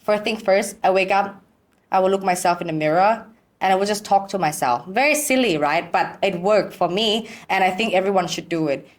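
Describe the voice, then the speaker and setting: A woman's voice: medium pitch, a little fast. A woman from Thailand, a podcast guest speaking emphatic, encouraging, simple in a candid conversation. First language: Thai and German. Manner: emphatic, encouraging, in simple non-native English.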